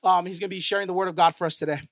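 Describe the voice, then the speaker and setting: High-voiced man, halting church introduction. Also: steady tone, background noise. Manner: halting, many ums and restarts. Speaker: church leader introducing the speaker. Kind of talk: casual church announcement.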